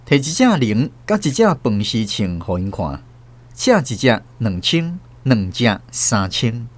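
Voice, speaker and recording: A man's voice: medium pitch, unhurried. A man narrating a radio drama story as the show's host. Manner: lively storytelling.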